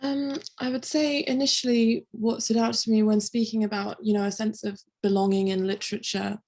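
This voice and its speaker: A woman's voice: on the high side, flat delivery, a little fast, darkish tone. A young woman, a student, asking a long, winding question with many hesitant fillers. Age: young adult. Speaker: student interviewer.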